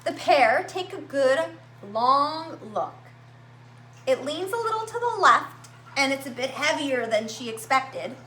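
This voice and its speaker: A woman reading a picture book aloud to young children, with expressive, dramatic emphasis and drawn-out words. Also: sits high. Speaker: children's story-time reader at a library. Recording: roomy echo, faint background noise.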